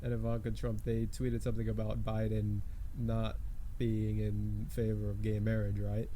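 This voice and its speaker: Relaxed, lowish-voiced man in casual chat. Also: moderate pace, a little hiss or hum. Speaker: podcast co-host.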